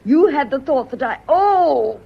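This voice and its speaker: A woman's voice: sits high, lively intonation, measured pace, creaky, heavily acted. An actress playing a wounded, intense lady's companion with a dramatic, reproachful manner in a period radio melodrama. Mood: indignant, bitter. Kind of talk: period stage melodrama, radio play acting. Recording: dull, noisy old radio recording.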